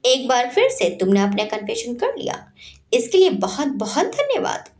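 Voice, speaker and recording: A woman's voice: on the high side, wildly swooping pitch, normal speed, a little mellow. A woman voicing a confident, assured young man, a devoted son in an audio story. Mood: mocking.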